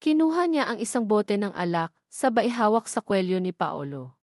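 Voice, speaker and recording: A woman with a Filipino accent narrates a scripted romance audio drama. Her voice sits at a medium pitch. The recording is close and dry, with little room sound. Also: expressive, moderate pace, darkish tone, no room sound. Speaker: story narrator.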